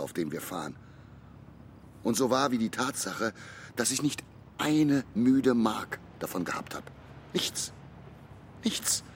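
A weathered male river skipper with a crooked past. His voice sits low but moves widely, brooding and halting one moment, plain and kindly the next. It is an audio drama scene with faint night insects in the background. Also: slight background noise. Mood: bitter, emphatic. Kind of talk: German audio drama acting, storytelling.

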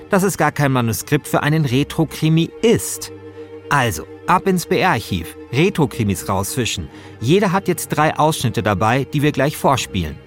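A man speaking as a podcast co-host, moving between casual chat and scripted narration. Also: mid-range voice.

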